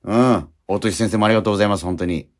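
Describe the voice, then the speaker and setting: A man's voice: lowish voice, very fast. A man hosting a radio show, talking casually yet politely and earnestly as he wraps up.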